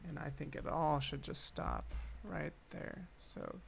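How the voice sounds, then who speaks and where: A man's voice: a little high, slow, vocal fry. A man hosting a casual composing livestream, thinking aloud and chatting to viewers with self-deprecating asides. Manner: musing, self-deprecating asides, talks to chat. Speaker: music composer and streaming host. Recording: loud cello and piano music behind. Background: cello and piano music being composed.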